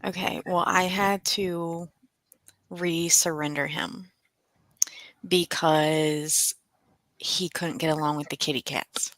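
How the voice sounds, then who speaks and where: A middle-aged woman co-hosting a livestream, chatting casually in a halting, personal storytelling way. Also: lowish voice, steady tone, fairly bright tone, no room sound. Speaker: co-host of a book readalong livestream.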